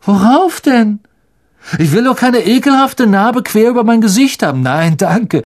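A man voicing an arrogant schoolboy bully who mocks and taunts in a shrill, high voice for an audiobook. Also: expressive, unhurried, darkish tone. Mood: sneering.

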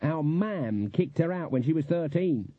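Guy putting on a grumpy old witch lady, husky, tinny echoey audiobook sound. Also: on the high side, lively intonation, a little slow, voice pushed up. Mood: indignant. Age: older. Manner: grumbling, dismissive. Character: stern, irritable old woman witch, bitter and indignant. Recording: echoey, narrow phone-like audio.

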